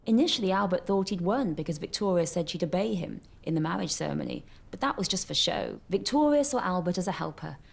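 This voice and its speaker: A woman's voice: fairly low pitch, animated, fast talker, gravelly, hardly any pauses. A woman giving historical commentary in a documentary interview, telling it in vivid, declarative statements.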